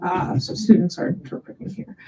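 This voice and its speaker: A woman's voice: brisk, warm tone, a little hiss or hum. A woman moderating a webinar, reading out audience questions in a conversational way.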